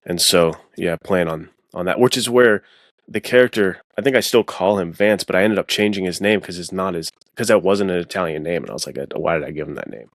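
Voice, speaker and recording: A man with a deep, low voice, recorded close and dry. He talks informally about his craft as a guest author, stopping and rephrasing as he thinks aloud. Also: brisk, no room sound. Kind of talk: casual podcast discussion of fiction writing.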